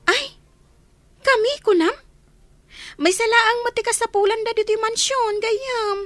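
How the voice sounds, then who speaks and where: A woman's voice: extremely high pitch, measured pace, fairly bright tone, dry recording, faint music behind. A woman playing a friendly, chatty young housemaid at a mansion in a radio comedy drama.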